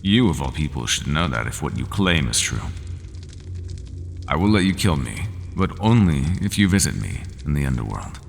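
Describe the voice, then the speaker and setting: A gravelly, weary horned monster. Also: male voice, sing-song, slight background noise, exaggerated voice. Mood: solemn, weary. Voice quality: very deep and gravelly. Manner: slow, formal speech without contractions. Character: weary horned male monster, half human, resigned. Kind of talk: ASMR audio roleplay. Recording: long hall reverb, faint ambience.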